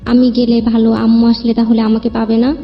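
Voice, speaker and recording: A woman's voice: a little high, completely flat delivery, brisk. A young woman with a Bangladeshi accent telling her own life story as a guest, in colloquial, loosely strung sentences. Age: young adult. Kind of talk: informal personal storytelling. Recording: dull lo-fi, long reverb, music behind.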